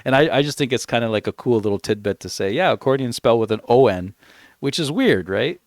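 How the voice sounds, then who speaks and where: A man's voice: medium pitch, animated, quick pace, no room sound, studio quality. A man from Canada, whose first language is Italian, chatting casually on a game stream while he plays. He rambles and corrects himself often. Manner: rambling, self-correcting storyteller. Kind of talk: casual chat while gaming.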